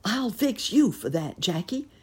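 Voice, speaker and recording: A woman voicing a jolly, playful old gentleman rabbit in a storybook. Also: mid-range voice, very animated, measured pace, husky, voice pushed up, heavily acted. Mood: mischievous.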